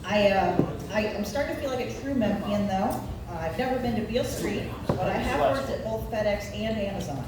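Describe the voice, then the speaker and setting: A woman in her forties from Nebraska with a Midwestern American accent performs live stand-up in a wry, self-deprecating, deadpan storytelling way. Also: mid-range voice, fairly quick, few pauses. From Nebraska, United States. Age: 40s. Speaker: stand-up comedian. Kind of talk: live stand-up comedy routine. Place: live comedy venue. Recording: echoey room, distant mic, background noise.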